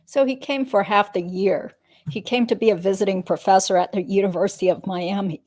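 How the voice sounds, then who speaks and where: A woman acts as a guarded female witness in a legal deposition reading. Her voice is soft-edged and mellow, at a middling pitch. Answers come measured and matter-of-fact. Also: lightly acted. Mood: guarded, factual. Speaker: reader playing a witness.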